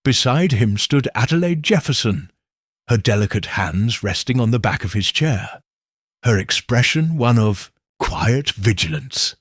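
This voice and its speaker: A man reading a mystery story as scripted narration in a measured storytelling manner. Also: medium pitch, animated, slightly rough, no room sound, studio quality. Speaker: audiobook narrator.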